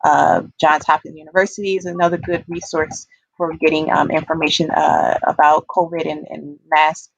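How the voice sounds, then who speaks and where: A woman giving an informal community talk on a video call, pausing often with hesitant ums. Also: sits low, flat delivery, creaky, breathy edge, no echo, dull audio. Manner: hesitant, frequent pauses and ums. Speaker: panelist giving public health talk.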